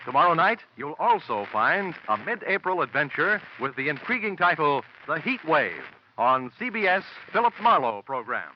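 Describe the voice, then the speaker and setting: A man with an American accent reading a scripted promo as a 1940s radio network announcer. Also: fairly high pitch. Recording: muffled vintage radio with hiss.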